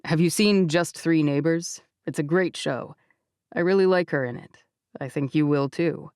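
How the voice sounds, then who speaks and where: A woman's voice: moderate pace, dry recording, clean studio sound, lightly acted. A woman voicing a young man who answers in short, guarded lines. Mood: curt. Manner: clipped, flat, lower.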